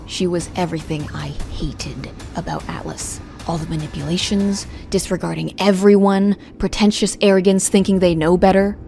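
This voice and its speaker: A woman's voice: on the low side, gravelly, a little mellow. A woman playing a guarded, protective young woman, narrating an audio drama in the first person in a restrained, brooding, stern manner. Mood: angry, threatening.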